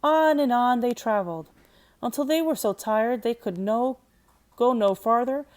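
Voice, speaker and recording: A woman's voice: fairly high pitch, a little slow, a little mellow. A woman teacher reading a children's storybook aloud as narrator.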